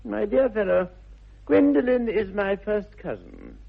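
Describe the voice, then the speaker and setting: An actor playing a witty, self-indulgent young Victorian gentleman with an upper-class English accent, arch and languid, in 1960s British radio comedy style. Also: high voice, wildly swooping pitch, measured pace, vocal fry, husky, lo-fi sound, full character voice. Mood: mock indignant. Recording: thin, band-limited old radio recording.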